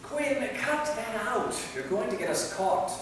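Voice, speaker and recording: Casting: male actor as grumpy, snappish schemer, animated delivery; staged reading in a very echoey hall. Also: sits high, a little slow, heavily acted. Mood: exasperated. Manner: sardonic, snappish. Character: exasperated, grumpy man, anxious diary-hunting heist partner. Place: theater stage, recorded from a distance. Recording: very echoey hall, far mic, faint noise.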